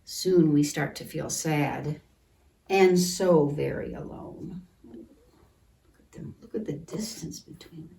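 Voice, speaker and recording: A woman reading a picture book aloud to children in a gentle, deliberate manner, pausing to comment and ask questions. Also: sits low, creaky. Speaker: children's storytime reader at a church. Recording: distant microphone.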